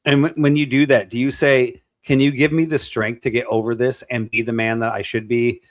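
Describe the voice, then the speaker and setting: A man's voice: mid-range voice, little pitch movement, fairly quick, no room sound. A man on a panel, talking in an encouraging, coaching way. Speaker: panel member. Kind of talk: casual panel talk.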